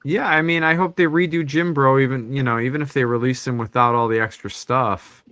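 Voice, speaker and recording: A man leads a casual chat about collectible figures. He talks in a relaxed, meandering way, teasing his co-hosts along the way. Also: mid-range voice, brisk, crowd noise. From Chicago suburbs. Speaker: podcast co-host.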